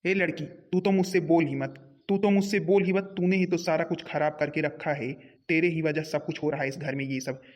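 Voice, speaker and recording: A man's voice: fairly high pitch, fairly even intonation, fairly quick, hardly any pauses, muffled recording, close to an everyday voice. A man voicing an angry, domineering elder brother in a family comedy cartoon, scolding and repeating himself bossily. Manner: scolding, repetitive, bossy.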